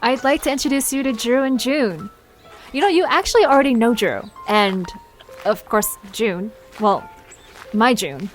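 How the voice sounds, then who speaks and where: A woman's voice: a little high, lively intonation, normal speed, faint music behind, lightly acted, poor audio. A woman playing a friendly, tender-hearted and slightly nervous young woman in an audio drama, speaking sincerely. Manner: hesitant, sincere. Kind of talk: audio drama character acting.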